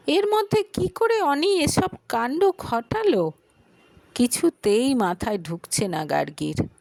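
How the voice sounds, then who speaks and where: Expressive female story narrator, measured pace, slightly high voice. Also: no room sound. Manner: expressive, dramatic. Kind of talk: scripted audio story narration.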